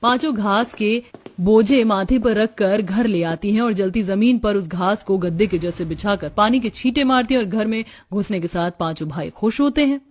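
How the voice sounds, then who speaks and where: Woman doing scripted narration for a cartoon story, normal mid pitch. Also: normal speed, darkish tone.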